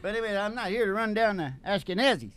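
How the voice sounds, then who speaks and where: A man's voice: sits high, expressive, quick pace, a bit bright, smooth. A man co-hosting a radio show in casual comedic chat, rambling and opinionated in a folksy way, with acoustic guitar. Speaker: singing radio show co-host. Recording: guitar playing behind the voice.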